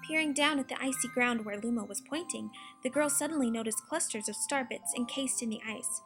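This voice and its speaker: A woman's voice: a little high, fairly quick, a bit bright. A woman reading a scripted children's storybook as its narrator. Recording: music behind the narration. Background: music.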